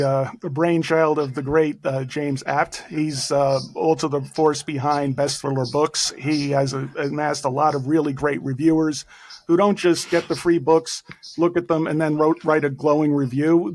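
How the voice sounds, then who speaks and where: A man with a Bronx accent, talking as an author guest in a casual interview, self-deprecating and brisk, going on at length. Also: on the high side, a little mellow.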